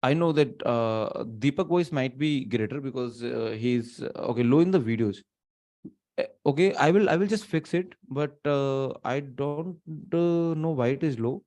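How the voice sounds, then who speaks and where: A man's voice: mid-range voice, moderate pace, a little mellow. A man in his thirties with an Indian accent, giving a live online lecture. He thinks aloud with restarts and fillers, slipping into Hindi, recorded in a small room on a webcam setup. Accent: Indian English, mixing in Hindi. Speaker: teacher. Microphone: webcam setup, not close.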